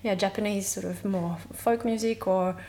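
A woman talks as an interview guest at an average pitch. She speaks hesitantly, with halting starts. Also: steady tone, dark tone, slight background noise. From Australia. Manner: hesitant, many fillers and restarts. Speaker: guest musician. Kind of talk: casual interview chat.

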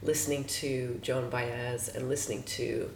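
A woman's voice: rumbling low, level tone, a little slow, a little hiss or hum. Woman in an echoey room. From Los Angeles, USA. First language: Hungarian. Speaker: guest musician. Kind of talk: casual interview chat.

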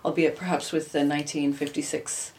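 A middle-aged woman whose was Hungarian, talking casually in an interview, warm and chatty with frequent laughs. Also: sits low, flat delivery, fairly quick. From United States. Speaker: interview guest, opera singer.

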